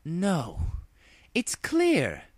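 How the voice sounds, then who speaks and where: A man's voice: sits high, wildly swooping pitch, drawn-out words, no echo, clean studio sound, pitched above the performer's own voice, heavily acted. A man voicing a royal female pony princess with archaic, theatrical, haughty speech in a comic story reading. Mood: crazed resolve.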